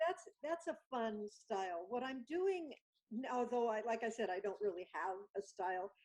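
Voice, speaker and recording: Animated woman guest on a video call. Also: a little high, normal speed, poor audio. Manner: animated storyteller, acts out dialogue. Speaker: guest artist. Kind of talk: casual video chat.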